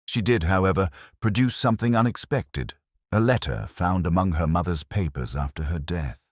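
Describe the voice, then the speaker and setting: Man, very deep voice, lively intonation, scripted mystery narration, literary, wry, measured. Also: no room sound, clean studio sound. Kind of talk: scripted first-person detective story narration.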